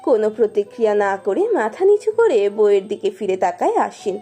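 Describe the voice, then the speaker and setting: A woman's voice: medium pitch, very animated, a little fast. A woman narrating a romance story expressively from a script. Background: soft music.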